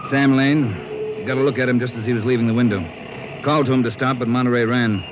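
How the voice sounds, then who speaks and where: An actor playing a terse, commanding American chief of detectives in a radio crime drama. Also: male voice, medium pitch, steady tone, fast, natural voice. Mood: brisk. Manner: terse, clipped, authoritative. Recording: muffled, noisy old radio broadcast.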